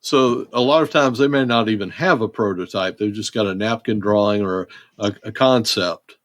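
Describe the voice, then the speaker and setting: A man's voice: on the low side, normal speed, no room sound, clean studio sound. A man hosting an interview, asking easygoing, conversational questions. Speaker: podcast host.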